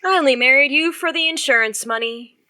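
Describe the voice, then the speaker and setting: Sharp-witted teasing wife played by a woman, high voice, dry audio-drama banter, faint noise and birdsong behind. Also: brisk.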